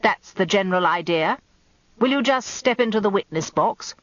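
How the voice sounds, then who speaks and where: Woman plays old defence barrister, male, theatrical and wily. Slow, swelling oratory, wide melodic range, slightly dull radio sound. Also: mid-range voice, expressive, a bit bright, no echo, close to an everyday voice. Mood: brisk. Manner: grandiloquent, slow courtroom oratory.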